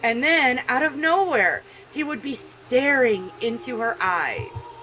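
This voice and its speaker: A woman's voice: high-pitched, expressive, slow pace, sharp tone, a little hiss or hum, poor audio. A woman hosting a gaming video, reading a visual novel aloud and commenting with emotional, indignant feeling.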